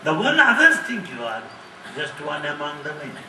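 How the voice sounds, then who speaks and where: A middle-aged man answering audience questions in a lively talk, repeating key phrases and laughing often. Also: on the high side, moderate pace. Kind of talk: lively lecture with jokes. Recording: echoey hall, distant mic, faint hiss.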